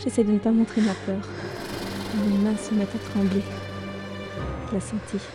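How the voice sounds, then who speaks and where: A woman playing a novice ranger who tells her own adventure in a fantasy audio drama, measured and a little wry. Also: medium pitch, flat delivery, over music, lightly acted. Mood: tense. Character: young female ranger, novice gamer, wry and curious narrator. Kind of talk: first-person audio drama narration.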